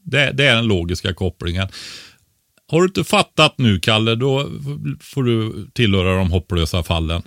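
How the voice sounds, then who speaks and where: A man with a fairly deep voice explains things on a podcast in a casual, teacherly way. He often checks in with a short questioning tag. The sound is very dry, with little room in it. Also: expressive, fairly bright tone, no echo. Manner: didactic, often tags statements with a questioning "va?".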